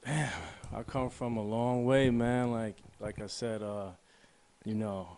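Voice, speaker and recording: A young man from the Bronx, a rapper talking in a relaxed, slangy way as an interview guest. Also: medium pitch, slow, clean tone. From New York, the Bronx. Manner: laid-back, slangy, fills with "man" and "you know what I'm saying". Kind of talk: casual interview chat.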